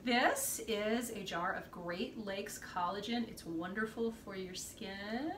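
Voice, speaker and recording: A woman's voice: mid-range voice, takes it slowly, noisy background. A woman in her thirties hosting a casual kitchen demonstration, chatty and upbeat, filmed in a home kitchen with a camera on the counter. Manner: chatty, upbeat, explaining as she goes. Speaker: host. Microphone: camera at counter distance.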